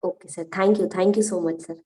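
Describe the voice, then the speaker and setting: A woman student politely asking her teacher a question over a video call, halting and rephrasing as she speaks. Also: lowish voice, steady tone, warm tone.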